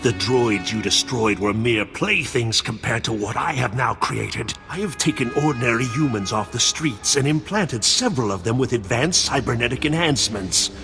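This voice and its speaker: A menacing video game mad scientist played by a man, half machine and full of grandiose contempt. His medium-pitched, theatrical voice rings in long reverb over clearly audible music. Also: expressive, normal speed, hardly any pauses, close to an everyday voice. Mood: threatening, boastful. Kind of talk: video game cutscene acting.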